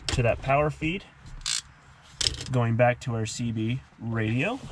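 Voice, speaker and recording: Male DIY host, mid-pitched, casual explanatory delivery, slight background noise. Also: a little mellow. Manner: methodical, explanatory. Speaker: host of a DIY car repair tutorial. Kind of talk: casual hands-on tutorial narration.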